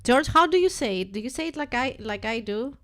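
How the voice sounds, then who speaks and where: A young woman with a Greek accent, hosting a relaxed live stream and chatting playfully with viewers while she works on a website. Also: on the high side, a little fast. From Greece. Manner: chatty and playful, talks to chat viewers by name, thinks aloud while working. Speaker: livestream host.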